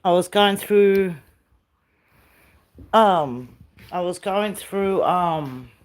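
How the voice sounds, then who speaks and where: Middle-aged woman, South American origin, relaxed home streamer; unhurried and chatty, phone mic indoors. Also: fairly low pitch. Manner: chatty, talks sweetly to her dog. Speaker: live streamer. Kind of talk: casual home live stream tour. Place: rented house, indoors.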